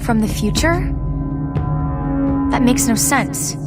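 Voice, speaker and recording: A woman's voice: fairly high pitch, expressive, takes it slowly. A young actress playing an eager, earnest young female mutant recruit in a video game, speaking sincerely with a slight anxious edge. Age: young adult. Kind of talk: video game cutscene acting. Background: game music score.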